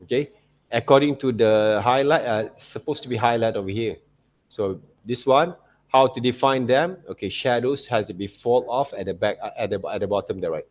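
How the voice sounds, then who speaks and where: A man giving an unscripted step-by-step tutorial as an art teacher, halting and repetitive, often checking in with short tag words. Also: medium pitch. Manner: halting, repetitive phrasing with frequent "okay, right, see" checks. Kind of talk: unscripted step-by-step drawing demonstration.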